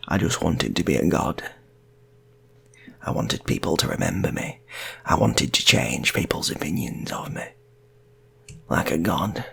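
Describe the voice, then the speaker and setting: A man's voice: rumbling low, expressive, a little slow, creaky, pauses often, fairly bright tone, slight background noise, pitched below the performer's own voice, full character voice. A man voicing an unhinged, desperate gunman who craves godhood, intense and repetitive, in an improvised story. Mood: bitter, forlorn.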